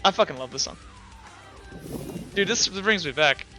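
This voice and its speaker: A man's voice: sits high, animated, moderate pace, sharp tone, soft background music. A young man running a tabletop game as game master, rambling with fillers and self-corrections.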